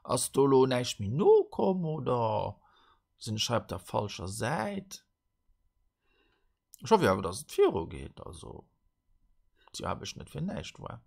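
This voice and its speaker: A man with a Luxembourgish accent and a gravelly voice, hosting a casual retro tech show and reading out old articles with many fillers and restarts. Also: mid-range voice, huge pitch swings, unhurried, pauses often, a little mellow. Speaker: host.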